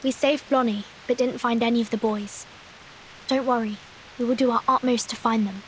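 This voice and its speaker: Woman playing a high-voiced, composed young female investigator. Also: steady tone, moderate pace, a little hiss or hum, natural voice, low-quality recording. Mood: reassuring. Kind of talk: video game voice acting.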